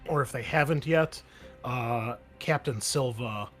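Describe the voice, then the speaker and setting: A man's voice: mid-range voice, a little slow, soft background music. A young man running a tabletop roleplaying game, recapping from his notes in an easygoing way with many fillers. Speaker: game master.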